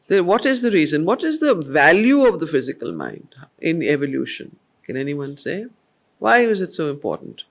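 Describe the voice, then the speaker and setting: Female study circle facilitator, mature, unhurried and deliberate, fairly low-pitched for reading and teaching verse. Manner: measured, deliberate, questioning the group. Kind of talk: slow poetry reading with explanatory teaching.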